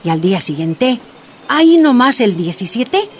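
A woman's voice: fairly high pitch, big pitch swings, normal speed. An actress playing a resolute activist recounting her life, in a radio drama. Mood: reflective, resolute. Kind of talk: first-person testimony in radio drama.

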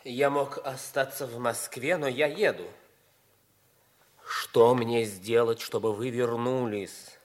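An actor playing an obsessive, bitter young schemer in a theatrical 1950s Soviet radio play. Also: male voice, medium pitch, steady tone, slow, natural voice. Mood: humble, yearning.

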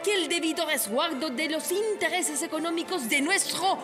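A woman's voice: extremely high pitch, normal speed. A woman acting a flustered, pompous town authority with a Chilean accent in a radio play, formal and oratorical. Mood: pompous, solemn. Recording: music behind the voice.

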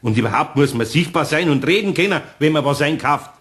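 An actor playing a good-natured older master craftsman with a Bavarian accent in a radio play, homely, amused and gently instructive. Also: fairly high pitch, lively intonation, a little fast. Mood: patient. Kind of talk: German radio play acting.

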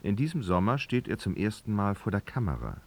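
A man's voice: fairly low pitch, big pitch swings, brisk. A man narrating a literary radio drama, reading expressively and dramatically.

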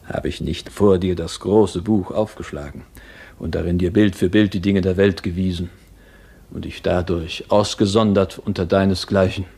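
An actor playing a grave, commanding teacher and master in a radio play, solemn and measured, with a gravelly, husky voice. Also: male voice, deep voice, steady tone, a little mellow, exaggerated voice. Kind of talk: classic German radio play acting.

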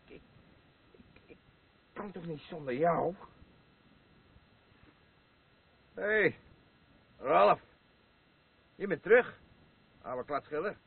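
An actor playing a boastful, crude and rambling drunken old war veteran in a radio play, with a husky voice. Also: male voice, fairly high pitch, heavily acted. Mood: boisterous. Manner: slurring, rambling, halting, blustering. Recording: muffled lo-fi radio play recording.

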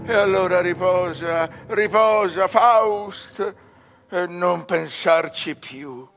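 An actor playing a grave, anguished, doomed scholar in a verse radio drama, with solemn declamatory delivery. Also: male voice, high voice, slow pace, a bit bright, faint music behind, heavily acted. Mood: anguished, brooding.

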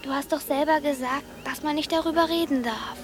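Radio drama role: small village girl, played by a woman, high voice, weary, stubborn, dreamily earnest, music underneath. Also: fairly even intonation, fairly quick, lightly acted. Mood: stubborn, dreamy. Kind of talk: German radio drama acting.

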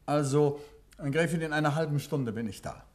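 An actor playing a calm, courteous amateur detective who asks probing questions in a 1950s radio play. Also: male voice, on the high side, normal speed, natural voice. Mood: courteous, attentive. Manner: composed, polite, questioning. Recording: muffled-free vintage 1950s radio recording.

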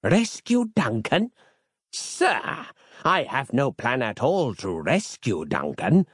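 A man's voice: medium pitch, big pitch swings, slow pace, husky, no echo, clean studio sound, full character voice. A man voicing a stern, pious zealot commander who declaims imperiously in archaic speech for an audio story. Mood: outraged, zealous.

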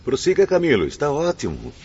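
A man's voice: fairly high pitch, huge pitch swings, a little fast, a little mellow, breathy edge, heavily acted. An actor playing a grave, courteous male student reciting spiritual lessons in a radio drama, formal and declamatory. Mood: grave, earnest. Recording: dull, noisy lo-fi audio.

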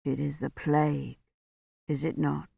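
Woman voicing a slow, weary, sick priest. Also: sits low, steady tone, gravelly, no room sound, highs cut off. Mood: weak, weary. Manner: slow, weary, drawn-out, formal old-fashioned speech. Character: exhausted, sick village priest, confused and stubborn.

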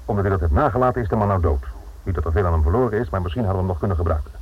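A stern police inspector in a radio play, low and husky, with a Netherlands Dutch accent. He is formal, commanding and dryly sarcastic. The old recording is muffled and narrow, like a telephone. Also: rapid-fire. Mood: reproachful, dry. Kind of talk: radio drama acting.

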